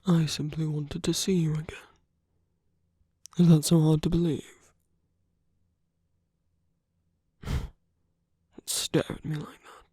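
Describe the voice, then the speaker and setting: A man playing a suave, teasing villain in an intimate ASMR roleplay, murmuring softly and often slipping into whispers. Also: on the high side, level tone, unhurried, no echo, clean studio sound. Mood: earnest, intimate. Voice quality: soft, breathy. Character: suave, teasing male villain at a royal masquerade.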